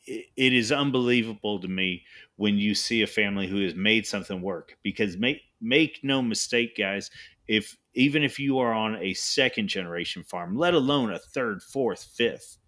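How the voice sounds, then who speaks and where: A man's voice: on the low side, moderate pace, a bit bright. A man hosting a podcast in casual conversation, giving emphatic, opinionated asides. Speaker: podcast host.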